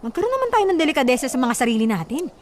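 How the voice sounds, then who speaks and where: A woman's voice: high voice, sing-song, very fast, a little mellow, a little hiss or hum. A woman acting a distressed, pleading wife who resists her husband in a radio drama. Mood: hurt, pleading. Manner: pleading, emotional protests.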